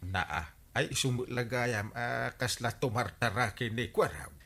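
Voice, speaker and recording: An actor playing a blustery comic village captain in an Ilocano radio drama, with an Ilocano accent and an incredulous, exclamatory manner. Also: male voice, mid-range voice, measured pace, vocal fry, bright, forceful tone, exaggerated voice. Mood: curious.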